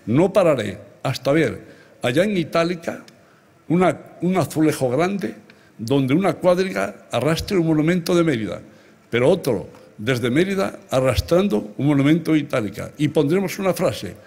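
Husky older Spanish man giving a speech. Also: fairly high pitch, lively intonation, normal speed, a little mellow. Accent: Spanish from Spain. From Extremadura, Spain. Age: 70s. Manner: emphatic, rhetorical, addressing listeners directly. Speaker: award recipient giving a speech. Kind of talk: ceremonial public speech. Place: large open-air ancient theatre stage at night, before a big audience. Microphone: lectern microphones. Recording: amplified outdoor speech with crowd sounds.

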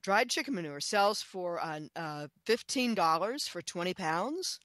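A woman's voice: lowish voice, lively intonation, a little slow, a bit bright, no room sound. A woman speaking as an expert guest in an interview, talking with enthusiasm and emphasis, repeating phrases and posing questions. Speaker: guest, author and chicken expert.

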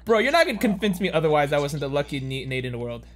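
A man who is a native Cantonese speaker, streaming a game with excitable, slangy, teasing banter. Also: a little high, rapid-fire, background noise. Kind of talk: live gaming commentary, casual banter. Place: indoor streaming room, seen on facecam.

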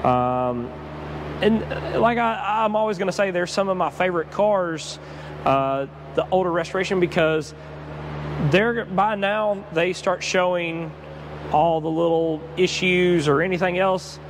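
A man, walking through a car warehouse and explaining cars in a relaxed way with lots of fillers. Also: sits high, measured pace. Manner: relaxed, knowledgeable, many fillers. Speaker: car salesman. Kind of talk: walkthrough explanation. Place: large indoor car warehouse. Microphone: clip-on lapel mic. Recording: faint background noise.

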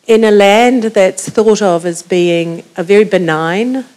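A woman's voice: medium pitch, unhurried, a little mellow. A woman with a New Zealand accent, making a long musing comment from the audience with many ums. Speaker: audience member.

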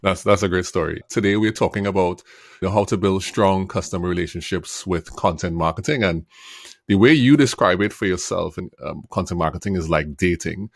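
A man hosting a podcast, calmly asking his guest questions into a studio mic. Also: sits low, fairly quick, gravelly, no echo. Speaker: podcast host. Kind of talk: interviewing. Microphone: studio mic and headphones.

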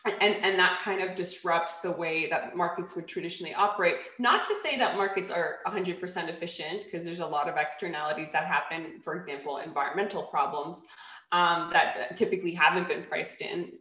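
A woman's voice: on the low side, fairly bright tone, reverberant, rough recording. A woman in her thirties, born in Poland, talking as a podcast guest on a video call, thoughtful and full of fillers and restarts. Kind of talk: casual video-call interview. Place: home room, plain light wall. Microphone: desk microphone on a video call.

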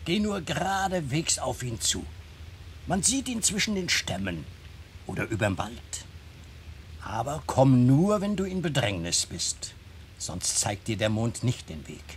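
A man's voice: mid-range voice, big pitch swings, a little slow, pauses often, a little hiss or hum. A man in a German radio play, playing a proud, cosy little king of a single day, genial, chatty and self-satisfied. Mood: advising. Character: cosy, proud little March day-king, eldest brother, pointed cap.

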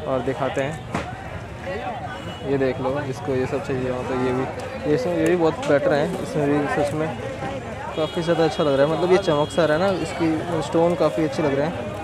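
A man's voice: on the high side, level tone, normal speed, a loud crowd. A man, chatting casually to his viewers as a vlogger. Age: 20s. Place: outdoors, selfie intro and open-air street market. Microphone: handheld camera or phone at arm's length.

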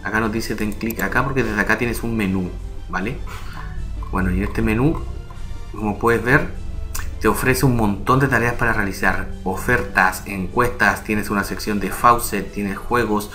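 A man's voice: on the low side, steady tone, normal speed, sharp tone, faint music behind. A man giving a chatty, step-by-step voice-over for a screen-recorded tutorial, often checking in with the viewer as he explains. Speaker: online earnings tutorial creator.

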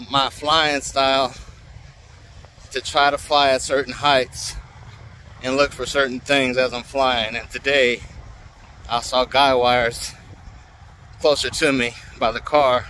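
An older man chatting casually as he walks through an open field at dusk, filming himself with a phone held at arm's length. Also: mid-range voice. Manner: relaxed, chatty, colloquial. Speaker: drone hobbyist vlogger. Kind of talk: casual walk-and-talk vlog.

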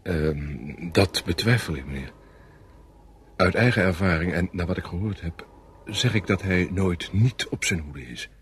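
An actor playing a sombre, doubtful subordinate French army officer in a radio play, deferential yet brooding. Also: male voice, deep voice, animated, measured pace, slight background noise. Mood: respectfully doubtful. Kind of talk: Dutch radio play acting.

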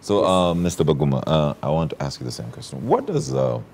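A man hosts a breakfast television talk show from a studio set. His voice sits extremely low with a gravelly fry. He prompts his guests in an easygoing way and laughs readily. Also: a little fast, a little hiss or hum. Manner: laughs often, relaxed prompting. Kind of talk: morning TV interview.

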